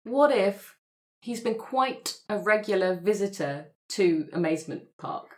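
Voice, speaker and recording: A woman taking part in a roleplay podcast, pitching story ideas in casual conversation. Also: animated, a little slow.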